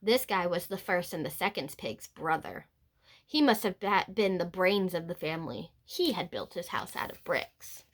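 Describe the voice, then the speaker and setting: A woman's voice: fairly low pitch, animated, moderate pace, voice pushed down. A woman voicing a sly, self-excusing male wolf telling his storybook tale with dramatic, mock-innocent pleading. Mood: dramatic, self-justifying.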